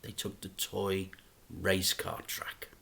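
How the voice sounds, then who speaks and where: A man, a teacher, reading a children's book aloud in an expressive, dramatic storytelling manner. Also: low-pitched, takes it slowly, gravelly.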